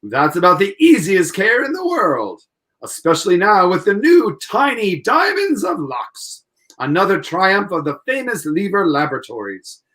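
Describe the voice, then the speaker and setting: A man's voice: high voice, huge pitch swings, moderate pace, a bit bright, exaggerated voice. A man playing an upbeat, polished 1940s radio announcer, hearty and salesmanlike as he presents and narrates. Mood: enthusiastic.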